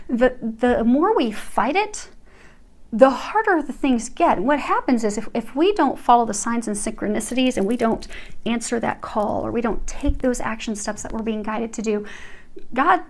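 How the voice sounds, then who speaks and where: A woman giving an earnest, reassuring tarot reading, speaking straight to her viewers. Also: fairly high pitch. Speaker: tarot reader.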